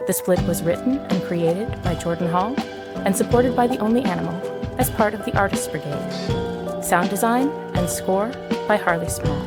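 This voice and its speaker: A woman's voice: medium pitch, normal speed. A woman announcer reading scripted credits for an audio production. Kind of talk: scripted narration. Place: studio. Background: loud music.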